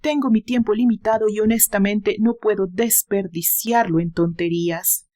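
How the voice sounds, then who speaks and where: A woman's voice: medium pitch, fairly even intonation, moderate pace, mellow, lightly acted. Female reader for proud young male rival in romance audiobook, sardonic, wounded, disdainful. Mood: bitter sarcasm. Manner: sarcastic, haughty. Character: proud, bitterly sarcastic young man, jilted lover.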